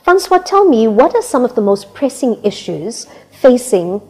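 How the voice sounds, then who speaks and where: A woman hosting an interview, putting prepared questions in a formal, deliberate way. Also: fairly high pitch, very animated, a little mellow, husky. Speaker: talk show interviewer, host.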